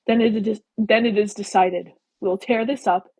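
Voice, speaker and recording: Woman acting a testy, husky Regency gentleman. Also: medium pitch, fairly even intonation, normal speed, close to an everyday voice, poor audio. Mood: reasoning, decided. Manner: testy, persuasive. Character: exasperated, insistent Regency gentleman arguing with the heroine.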